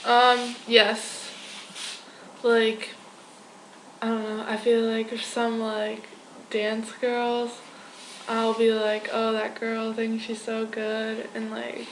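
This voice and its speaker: A woman's voice: a little high, completely flat delivery, takes it slowly, slight background noise. A young woman answering interview questions in a casual way, with many "like" fillers. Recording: echoey room.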